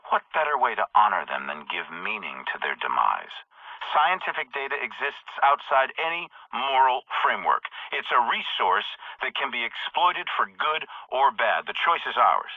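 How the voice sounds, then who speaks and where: An actor playing a ruthless, calculating head of a private military company, recording a commanding, measured monologue for a game. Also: male voice, medium pitch, dry recording. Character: ruthless, calculating older male military CEO. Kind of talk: recorded audio log monologue. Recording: dry, phone-like narrow audio.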